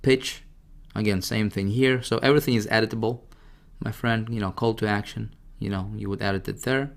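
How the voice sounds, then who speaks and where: Low-voiced man giving a casual software walkthrough. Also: steady tone, a little fast, vocal fry. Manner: informal, addresses the listener directly with frequent check-ins. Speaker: software tutorial presenter. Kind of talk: casual screen-share product walkthrough.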